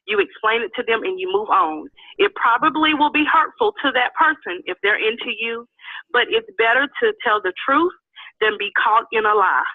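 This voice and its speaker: A woman's voice: a little high, no room sound. A woman in her thirties calling in to a podcast, stuffy from a cold, speaking earnestly and firmly. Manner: earnest, firm, open. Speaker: caller. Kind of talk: phoned-in call on a podcast. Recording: tinny dry phone line.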